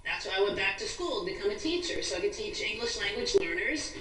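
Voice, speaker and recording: A woman's voice: mid-range voice, animated, fast, a bit bright, far from the mic, soft background music. An American woman speaking as an interview guest and activist, earnest, emphatic and articulate, over a roomy, noisy internet video call. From New York State, USA.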